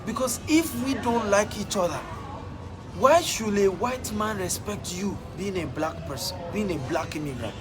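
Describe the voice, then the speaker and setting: Nigerian male motivational speaker, high-pitched and emphatic, repeats lines for punch; music bed throughout. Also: lively intonation, moderate pace, low-quality recording. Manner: emphatic, repeats phrases for effect. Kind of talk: motivational talk.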